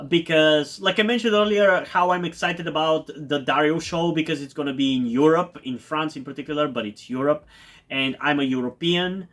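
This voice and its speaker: A man from Bulgaria, with Bulgarian as his first language, rambles through a TV review in a slightly raised voice. He stumbles over fillers and restarts, then piles on eager repeated words when something excites him. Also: a little high. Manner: enthusiastic, repeats words for emphasis. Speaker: TV review YouTuber. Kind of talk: casual spoken TV review.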